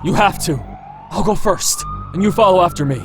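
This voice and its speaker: A man's voice: high-pitched, fairly quick, gravelly, pitched above the performer's own voice. A man voicing a reckless, commanding but reassuring man on the run in a visual novel, speaking in terse, urgent bursts. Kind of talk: dramatic visual novel character reading. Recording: sirens and street noise behind voice. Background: sirens, cars.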